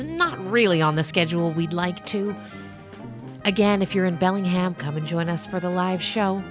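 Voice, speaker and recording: A woman with a fairly low voice presents an audio story podcast. She speaks as an expressive storyteller addressing her listeners. Soft music sits behind her voice. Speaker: writer, producer and narrator of a story podcast.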